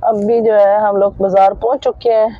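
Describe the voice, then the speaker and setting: A woman's voice: medium pitch, level tone, fairly quick, no echo, phone-quality sound. A middle-aged woman vlogging casually from inside a car, talking straight to her viewers in a chatty way, filming on a phone held at arm's length. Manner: chatty, addresses viewers directly, repeats filler phrases. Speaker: family vlogger. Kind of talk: casual vlog narration. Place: inside a car in a busy market street. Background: faint traffic and vehicle noise.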